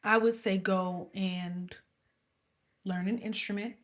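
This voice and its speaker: A woman's voice: mid-range voice, fairly even intonation, slow pace. A young adult woman singer, a guest in an interview, talking casually and with animation, laughing often and sometimes dropping to a whisper.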